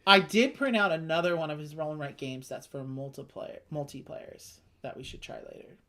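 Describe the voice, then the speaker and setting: A woman's voice: deep voice, animated, a little fast, fairly bright tone. A woman with a slight lisp, chatting casually as she explains and plays a tabletop game. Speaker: host teaching a board game playthrough. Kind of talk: casual chat while playing a roll-and-write game.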